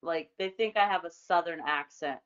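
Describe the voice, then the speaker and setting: A woman's voice: lowish voice, moderate pace, clean tone, no echo, highs cut off. A woman in casual chat, emphatic and easily exasperated, swearing freely while ranting.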